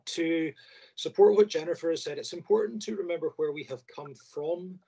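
A man's voice: on the high side, moderate pace, gravelly, mellow, husky. A middle-aged man making an earnest statement as a surgeon in an online meeting. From Scotland. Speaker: orthopaedic surgeon.

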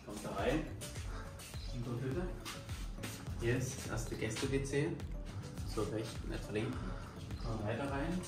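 Young male tour guide, showy short phrases, medium pitch, heard off-mic in echoey rooms. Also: lively intonation, a little slow, long pauses. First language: German. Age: young adult. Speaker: vlog companion showing the accommodation. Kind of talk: casual house-tour commentary. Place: indoors in a furnished holiday house. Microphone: handheld camera a few steps away. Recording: echoey rooms, off-camera distance, music over it. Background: music.

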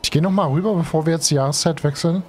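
A man's voice: on the high side, racing, a little mellow. Brief: young male let's-player, under the weather, loose slangy chatter, headset mic, faint game music underneath. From Germany. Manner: chatty, slangy, thinks aloud with many fillers. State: has a cold and is tired. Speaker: gaming streamer. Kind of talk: casual let's play commentary. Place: home streaming room.

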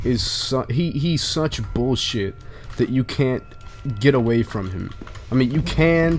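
A young man commentating his own horror game run, stuffy from a flu, swearing often and breaking into angry shouts. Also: mid-range voice, background noise. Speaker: gaming channel host. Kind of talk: casual gaming commentary.

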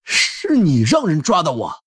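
A spoiled, vicious young bully of an official's son, acted out by a man. His husky voice swings wildly up and down as he blusters and threatens in audiobook style. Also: a little high, huge pitch swings, unhurried, fairly bright tone, pitched above the performer's own voice, heavily acted. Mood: outraged. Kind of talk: audiobook character acting.

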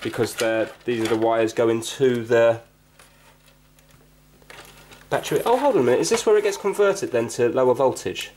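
A man's voice: mid-range voice, normal speed, long pauses, a little mellow. A man giving casual hands-on repair commentary, thinking aloud and often checking himself with questions. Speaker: amateur repair video host. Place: indoors on a wooden floor. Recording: clicky, noisy room recording.

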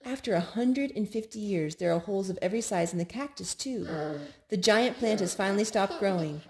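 A woman reading children's picture books aloud in an expressive, measured storytime style. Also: medium pitch, crowd noise. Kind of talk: read-aloud storytime narration. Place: indoors at home.

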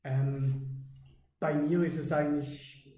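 A man's voice: fairly high pitch, little pitch movement, slow, warm tone, reverberant, poor audio. A young man, answering podcast questions with many hesitations. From Basel, Switzerland. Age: 20s. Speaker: interview guest, army lieutenant. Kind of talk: casual podcast interview. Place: outdoors beside an army vehicle.

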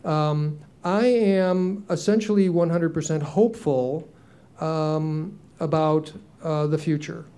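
A man's voice: on the high side, steady tone, slow pace, mellow, clear, resonant tone. A man in his sixties answering on a conference panel, earnest and reflective, with frequent ums and restarts into a handheld mic. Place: conference room, seated on stage.

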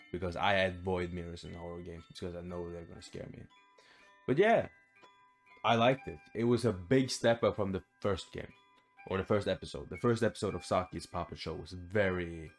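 A young man with a fairly low voice hosts a gaming video. He talks informally and thinks aloud as he plays, with some swearing. Faint music runs behind him. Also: fairly quick. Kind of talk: casual let's play commentary. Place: small room indoors at home.

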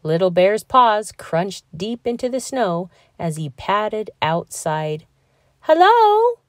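A woman reading a children's picture book aloud with slow, expressive storytelling. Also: lowish voice, dry recording, clean studio sound. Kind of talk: read-aloud storybook narration.

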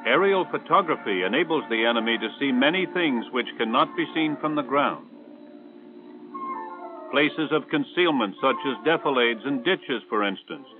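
Tinny vintage film soundtrack, male narrator, American accent, 1960s military instruction, measured and authoritative, medium pitch, music behind.

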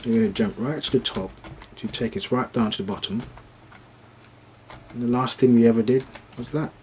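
A man giving an informal, unscripted step-by-step device demonstration, haltingly thinking aloud as he works through it. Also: mid-range voice, darkish tone, slight background noise, low-quality recording. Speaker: product demonstrator.

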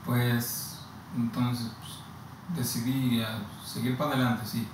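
A man's voice: mid-range voice, little pitch movement. Honduran man in a very echoey room. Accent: Honduran Spanish. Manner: slow, halting, with frequent restarts and repeated words. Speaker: migrant giving testimony. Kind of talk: personal testimony. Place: plain indoor room against a bare wall. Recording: very echoey room, distant mic, audible hiss.

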